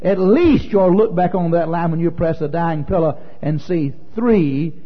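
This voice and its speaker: Guy preaching a sermon, kinda high husky voice, folksy and repeats himself for emphasis, old muffled tape sound. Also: unhurried. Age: 40s. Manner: emphatic, repetitive, folksy delivery with rhetorical questions. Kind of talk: sermon to a congregation. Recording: dull lo-fi recording in a reverberant hall.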